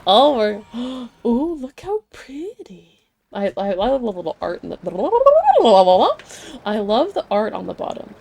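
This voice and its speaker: A woman's voice: fairly high pitch, very animated, takes it slowly, creaky, darkish tone. A woman giving casual, gushing reaction commentary as a game streamer. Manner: gushing, exclamatory.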